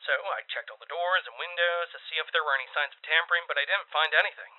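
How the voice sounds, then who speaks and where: A man's voice: a little high, natural voice. Hesitant, anxious actor as a man, tinny lo-fi recording. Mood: unsettled, defensive. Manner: hesitant, halting, rambling. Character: anxious man recording a confessional podcast about eerie sleep noises. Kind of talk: found-footage audio drama, confessional monologue. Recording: muffled, tinny radio or phone-like sound.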